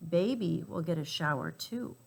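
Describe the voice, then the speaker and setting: A woman reading a children's picture book aloud in an expressive, emphatic and playful way for young listeners. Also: lowish voice, normal speed, vocal fry. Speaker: storybook reader.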